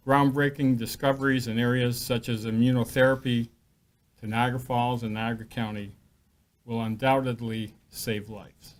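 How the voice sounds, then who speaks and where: A man, a hospital executive, giving a formal thank-you speech at a press event and listing names with some hesitant fillers. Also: medium pitch. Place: event hall at a live press announcement.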